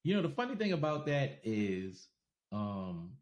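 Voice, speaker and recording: A man with a faint New York accent talks slowly and easily, stretching his words in relaxed podcast chat. He laughs often as he goes. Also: mid-range voice. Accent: faint New York, softened by travel. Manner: laid-back, drawn-out, laughs easily. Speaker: podcast co-host.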